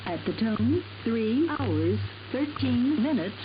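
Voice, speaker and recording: A woman's voice: a little high, very animated, slow pace. A woman giving formal time announcements as a radio time-signal announcer, heard through a shortwave broadcast recording. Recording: dull lo-fi shortwave broadcast under music.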